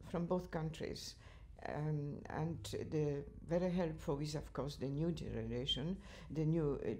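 An older woman from Poland with a Polish accent, chatting about her volunteer work in an interview, laughing often and speaking in halting, non-native sentences. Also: deep voice, warm tone, a little hiss or hum. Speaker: interviewee, cultural association chair. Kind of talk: reminiscing interview answers. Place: living room at home, indoors.

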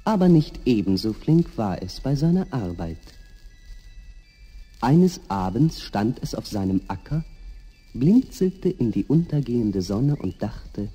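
A man narrating a scripted fairy tale for a 1960s audio play in an expressive storytelling manner. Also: medium pitch, unhurried, slightly rough, big-room sound, faint music behind.

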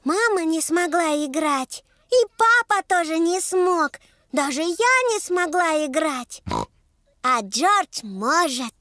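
A very high, lively little girl piglet from a children's cartoon. She is cheerful and bossy, taking her time over simple, emphatic phrases. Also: takes it slowly, no room sound, studio quality, full character voice. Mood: matter-of-fact.

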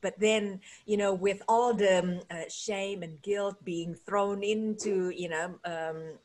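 A woman's voice: medium pitch, steady tone, slow pace, a little mellow. A woman from Indonesia hosting an interview over a video call from home, speaking hesitantly with many fillers. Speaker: host, relationship coach. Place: home room. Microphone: video call, laptop camera.

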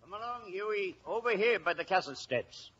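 An actor playing a proud, stubborn old Irish village policeman in an old-time radio drama, with a husky voice. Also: sits high, animated, fairly quick, over loud music. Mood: brisk, self-important. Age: older. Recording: dull old radio recording.

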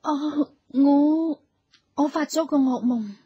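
A woman's voice: high-pitched, slow, dull audio. A woman acting a troubled, dreamy young wife in a Hong Kong Cantonese radio drama. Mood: hesitant, uneasy. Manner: hesitant, distracted. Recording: reverberant, music clearly behind.

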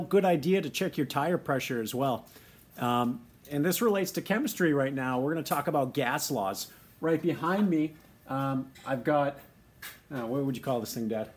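A young man, a science teacher, explaining car maintenance to his students in a relaxed, chatty way with plenty of ums, filmed on a phone in his home garage. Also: mid-range voice, a little hiss or hum. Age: young adult. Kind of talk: casual how-to lesson video. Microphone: phone camera held close.

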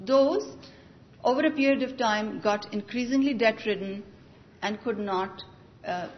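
A woman giving a measured, formal policy speech at a conference podium in a large hall. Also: fairly high pitch, highs cut off. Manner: measured, articulate, formal delivery with occasional restarts. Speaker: conference panelist. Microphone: podium microphone, recorded from the audience.

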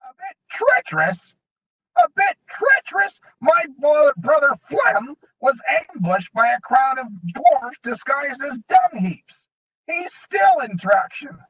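A man reading an audiobook, voicing a sneering, bullying male goblin gang leader with high, snarling taunts. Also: sing-song, a little slow, a bit bright, full character voice. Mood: menacing. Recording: dull lo-fi recording.

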